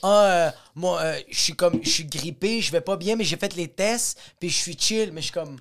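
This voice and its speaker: High-voiced man, opinionated. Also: moderate pace, fairly bright tone. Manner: opinionated, slangy, mixes in English. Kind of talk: casual chat.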